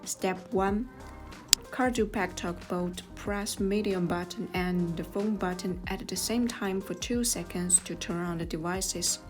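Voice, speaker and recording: A woman reading scripted step-by-step instructions as a tutorial narrator, in a measured, neutral manner. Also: fairly low pitch. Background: music.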